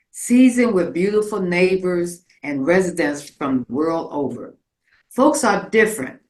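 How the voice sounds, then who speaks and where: A woman from rural Virginia reciting a scripted poetic monologue in a theatrical, lyrical manner at a community performance. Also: lowish voice. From rural Virginia, United States. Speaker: community performer reading own piece. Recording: roomy, echoing live space.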